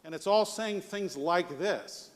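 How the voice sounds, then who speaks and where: American male conference speaker, notably high voice, conversational delivery with checking questions, long hall reverb. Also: expressive, slow pace. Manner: conversational, rhetorical questions with "right?" tags. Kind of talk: live leadership presentation.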